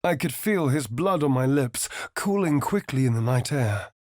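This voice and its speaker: An actor playing a sardonic, foppish vampire spawn rogue in a video game, with an arch, theatrical, disdainful drawl. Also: male voice, medium pitch, lively intonation, moderate pace, darkish tone, dry recording, clean studio sound, natural voice. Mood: brooding, dramatic. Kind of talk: video game voice acting, companion dialogue and narration.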